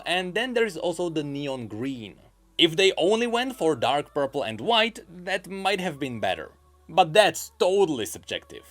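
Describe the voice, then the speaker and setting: A man hosting a gaming news video, giving scripted, opinionated commentary in a measured, explaining way. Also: a little high, a bit bright.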